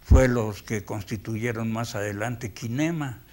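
A man in his eighties with a Mexican accent, a veteran animator recalling his career haltingly in an interview. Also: on the low side, a little mellow. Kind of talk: reminiscing interview. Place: indoor room, close interview shot.

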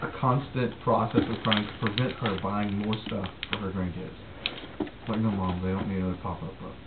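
Woman, humorous exaggerated everyday chat, tinny phone-like sound buried in loud noise. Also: rumbling low, fairly even intonation, fairly quick. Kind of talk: casual chat. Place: room at home. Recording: very noisy, echoey, tinny home video.

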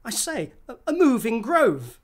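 A woman's voice: fairly high pitch, sing-song, measured pace. A woman playing an anxious, deferential messenger from the watch in a classical radio drama, in Shakespearean verse. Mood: alarmed.

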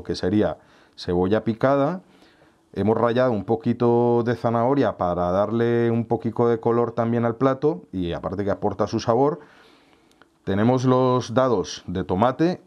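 A middle-aged man from Colombia, hosting a home cooking tutorial and calmly explaining each step with small friendly asides. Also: mid-range voice. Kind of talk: relaxed recipe explanation. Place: home kitchen, indoors.

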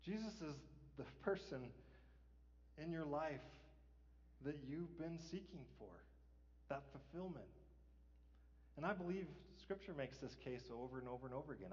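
A man's voice: a little high, animated, moderate pace, a little mellow. A middle-aged man preaches from the stage of a large church, talking to the congregation in a casual, conversational way. His voice carries a long reverb from the sanctuary and sounds somewhat dull and lo-fi. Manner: conversational preaching, asks rhetorical "right?", chuckles. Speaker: pastor. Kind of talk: sermon.

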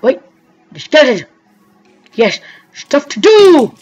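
A woman giving rambling, excitable let's-play commentary over her gameplay, talking straight to her viewers. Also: sits high, takes it slowly. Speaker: gaming commentator. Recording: dull lo-fi mic with faint music.

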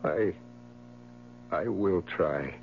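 An actor playing a grief-stricken, haunted husband in a theatrical, impassioned 1970s radio drama style. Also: male voice, low voice, drawn-out words, gravelly, exaggerated voice. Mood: anguished. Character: anguished, grief-stricken husband haunted by his dead wife. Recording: muffled old radio recording, mains hum.

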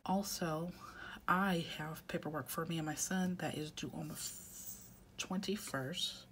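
A woman's voice: deep voice, steady tone, a little slow, a bit bright, a little hiss or hum. A woman with a head cold, congested, chatting casually and often half-whispering as she fills out her weekly planner at home. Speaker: planner vlogger. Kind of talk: casual chat while planning.

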